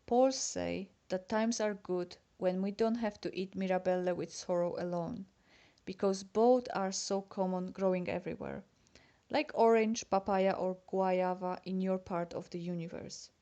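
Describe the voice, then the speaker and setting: Mellow-voiced Polish woman reading a letter. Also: mid-range voice, fairly even intonation, unhurried, muffled recording. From Poland. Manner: chatty and confiding, addressing a friend. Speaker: letter writer. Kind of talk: reading a personal letter aloud.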